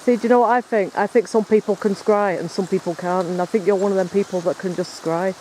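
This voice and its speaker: Chatty man, high and mellow. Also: steady tone, quick pace, slight background noise, low-quality recording. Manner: chatty, rambling, explains as he goes. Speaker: paranormal investigator filming. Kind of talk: casual on-location chat. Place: woods by a stream at night, in rain.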